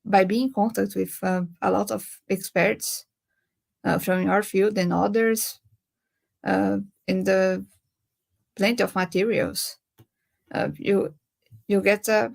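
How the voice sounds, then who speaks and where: A young woman from Brazil speaks in English with a Brazilian Portuguese accent on a webinar panel. Her voice carries a strong vocal fry, and she keeps stopping to search for words. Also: mid-range voice, level tone, measured pace, darkish tone. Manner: hesitant, many filler sounds. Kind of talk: webinar talk.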